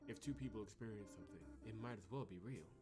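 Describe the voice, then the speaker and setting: A man's voice: fairly low pitch, fast, lightly acted. A man reading a cold, cryptic young woman from a video game in a curt, aloof manner. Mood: curt, impatient. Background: game music behind the voice.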